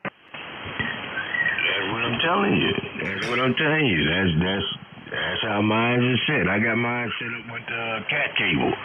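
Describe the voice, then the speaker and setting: A man calling in over amateur radio, chatting casually in a folksy, halting way and repeating phrases. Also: medium pitch, animated. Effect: radio transmission. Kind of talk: casual ham radio chat. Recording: very noisy narrowband ham radio signal.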